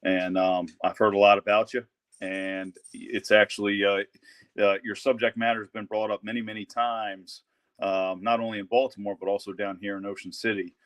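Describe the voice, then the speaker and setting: A man's voice: fairly low pitch, little pitch movement, moderate pace, fairly bright tone. A man, a Baltimore firefighter, introducing himself hesitantly on a webcast. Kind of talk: casual webcast chat.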